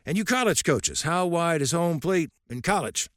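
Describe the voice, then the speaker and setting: A man voicing a gruff, fiery old baseball coach who barks and bellows at a crowd. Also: fairly high pitch, animated, normal speed, no room sound, studio quality, pitched above the performer's own voice, close to an everyday voice. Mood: barking. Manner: barking, bellowing.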